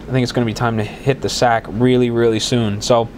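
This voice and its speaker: Man with a cold, congested and a bit hoarse, rambling solo podcast host, faint room hum. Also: medium pitch, little pitch movement, fairly quick, darkish tone. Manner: rambling, many restarts and fillers. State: congested with a cold, voice slightly worn. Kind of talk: casual solo podcast talk.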